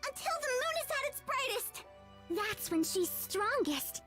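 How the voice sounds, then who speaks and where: A woman's voice: very high-pitched, big pitch swings, measured pace, fairly bright tone, soft background music, exaggerated voice. A woman voicing tiny, urgent, otherworldly forest fairies in a visual novel. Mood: expectant. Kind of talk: visual novel character dialogue.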